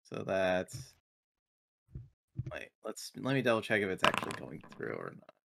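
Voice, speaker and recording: A man's voice: sits low, very animated, normal speed, creaky, fairly bright tone. A man chatting casually as a player in a tabletop roleplaying game.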